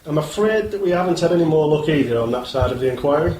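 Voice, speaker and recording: A man playing a polite, methodical and persistent police inspector in a radio play, formal and measured in manner. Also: on the high side, animated, a little mellow, lightly acted. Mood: serious, probing. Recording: roomy echo, faint noise.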